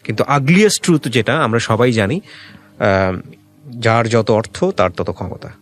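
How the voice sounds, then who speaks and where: A man with a Bangladeshi accent interviewing as a radio host, conversational, dropping English words into his speech. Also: mid-range voice. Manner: mixes English words into speech, prompts with short questions.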